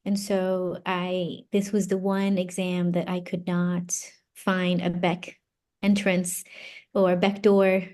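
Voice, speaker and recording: A woman from Brazil, a native Portuguese speaker, giving casual interview answers and haltingly thinking aloud. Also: on the low side, monotone, darkish tone. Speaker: interview guest, psychology doctoral graduate.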